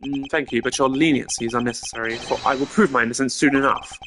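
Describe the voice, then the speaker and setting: A man voicing a composed, elegant male prosecutor with a formal, measured, dry delivery. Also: mid-range voice, fairly even intonation, background noise, natural voice. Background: game music.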